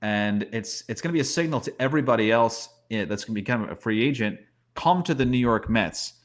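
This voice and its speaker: A man's voice: mid-range voice, expressive, a little fast. An emphatic man with a mid-range voice talks sports as a commentator. He is opinionated and conversational, building his points with rhetorical questions.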